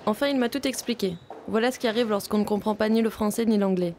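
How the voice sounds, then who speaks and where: A young woman from Vietnam, a native Vietnamese speaker, calmly narrating a personal video diary as a visiting film student. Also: mid-range voice, fast, noisy background. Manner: calm, reflective, first-person storytelling.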